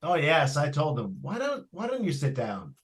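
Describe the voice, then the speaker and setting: A man's voice: fairly high pitch, wildly swooping pitch, normal speed. A man playing an eager, talkative property salesman in old-time radio suspense style, with a folksy, persuasive manner. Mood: soothing, uneasy. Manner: folksy, persuasive, colloquial phrasing. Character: eager, talkative salesman trying to unload a haunted house.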